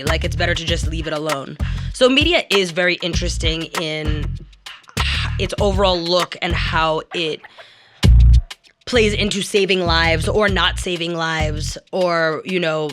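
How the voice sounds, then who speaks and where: A woman in a candid discussion, explaining things in an emphatic, conversational way. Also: lowish voice. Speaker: actor and radio professional. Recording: music playing under speech. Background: music.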